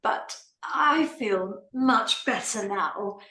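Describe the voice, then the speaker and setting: A woman's voice: fairly high pitch, animated, very slow, sharp tone, echoey room, heavily acted, low-quality recording. A woman playing an imperious, theatrically emotional aristocratic lady in a comic stage play, with a declamatory manner. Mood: composed, grand. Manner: declamatory, dramatic.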